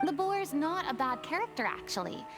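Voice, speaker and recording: Casting: gracious young theatrical lady for anime game, very high voice, polite and articulate. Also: sing-song, normal speed. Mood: thoughtful. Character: poised, gracious young female performer and theatre consultant. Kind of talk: anime-style game voice acting. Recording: music under the voice.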